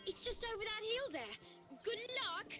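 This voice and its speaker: A woman's voice: extremely high pitch, big pitch swings, moderate pace, over music. An actress playing a flirtatious, doting country woman with a British accent in a video game puppet show, gushing and cooing. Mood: delighted, chatty. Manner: coquettish, gushing, cooing, giggly.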